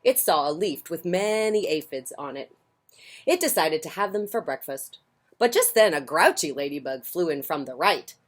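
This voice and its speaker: A woman with a low voice tells stories to children. Her intonation rises and falls widely as she reads. She is playful and informal, chatting casually between books. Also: lively intonation, moderate pace. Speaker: children's storyteller. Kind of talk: animated picture-book read-aloud with casual asides.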